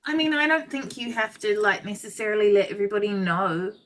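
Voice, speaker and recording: A woman hosts a relaxed podcast conversation and explains salon practice as an educator. Her voice is bright and forward, and her talk is loose and chatty, full of fillers. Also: mid-range voice, fairly quick, a little hiss or hum. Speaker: podcast host and nail educator. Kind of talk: casual chat.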